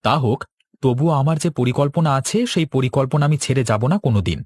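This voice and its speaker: A man voicing a determined, patient young farmer in a cartoon, earnest and steady. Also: mid-range voice, fast talker, husky, dry recording, clean studio sound, natural voice. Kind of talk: cartoon dialogue.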